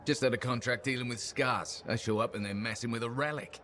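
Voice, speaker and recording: A male actor plays an easygoing pilot in a video game. His medium-pitched voice is upbeat and folksy. He talks like a chatty storyteller who loves adventure. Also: brisk, pushed and bright, natural voice. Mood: upbeat, boastful. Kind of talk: video game dialogue acting.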